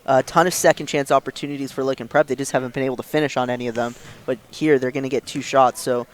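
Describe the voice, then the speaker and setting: A man giving color commentary on a basketball game, analysing plays with quick restarts. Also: mid-range voice, steady tone.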